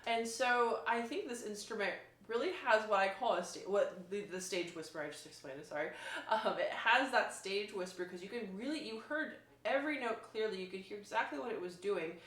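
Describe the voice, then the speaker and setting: A woman's voice: medium pitch, animated, fairly quick, a bit bright. Enthusiastic woman reviewer in an echoey room. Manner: enthusiastic, emphatic, explanatory. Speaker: violinist reviewing an instrument. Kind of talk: casual spoken product demonstration. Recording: roomy, echoing sound.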